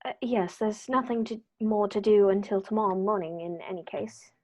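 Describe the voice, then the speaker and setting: A woman playing a calm, clever male detective in an old-style radio play, measured and precise as she works things out aloud. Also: medium pitch, highs cut off, natural voice. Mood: calm, deductive. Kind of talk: 1930s radio play acting.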